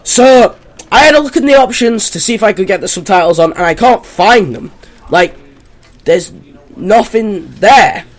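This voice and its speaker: Young man, hoarse, high voice, rambling gameplay chatter, home computer mic. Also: expressive, moderate pace. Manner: rambling, chatty, full of fillers. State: hoarse, losing his voice. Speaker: gaming YouTuber. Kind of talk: casual gameplay commentary.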